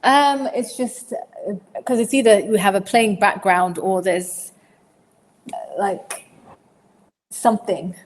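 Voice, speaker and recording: A woman in her forties from Zimbabwe, talking through interview questions at an easy middle pitch and often stopping to restart her sentences. Her voice carries a long, hall-like reverb, with faint ambient noise behind it. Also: takes it slowly, long pauses. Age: 40s. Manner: rambling, hesitant, many fillers and restarts. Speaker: podcast guest. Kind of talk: casual interview answers.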